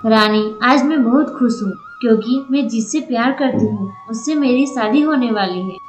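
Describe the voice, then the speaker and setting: A woman's voice: on the high side, moderate pace, roomy sound, soft background music, lightly acted. A woman playing an innocent, trusting young village woman in love in a cartoon story. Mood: happy. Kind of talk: Hindi cartoon story dialogue.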